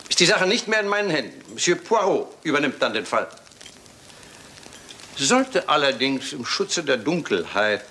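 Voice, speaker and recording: A commanding old aristocrat in a radio mystery. He speaks deliberately, with lively swings of pitch and authority, over faint background noise. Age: older. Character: stern, commanding old English lord and inventor. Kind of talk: German radio crime play acting.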